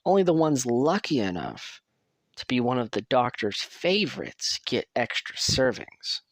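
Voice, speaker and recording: A man's voice: medium pitch, sing-song, measured pace, no room sound. A man playing a chatty, sardonic asylum patient who rambles and swears casually while guiding a newcomer in an audio roleplay. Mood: wry, confiding. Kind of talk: acted audio roleplay addressed to the listener.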